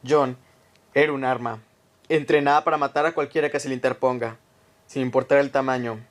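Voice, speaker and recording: A man reading scripted narration for a radio drama, telling a story in the third person. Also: mid-range voice.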